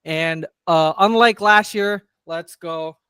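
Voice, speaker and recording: A man's voice: fairly high pitch, slow, sharp tone, clean tone, no echo, clean studio sound. A man in his twenties hosting a casual sports podcast, upbeat and steering the segments. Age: 20s. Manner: upbeat, runs the segments with fillers. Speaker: podcast host.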